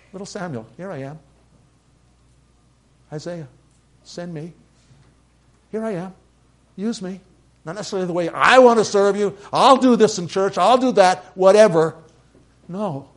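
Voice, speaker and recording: An older man with a slight Norwegian accent, preaching a sermon. He builds to loud, emphatic peaks and then drops to quiet, measured lines. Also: a little high. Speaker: pastor.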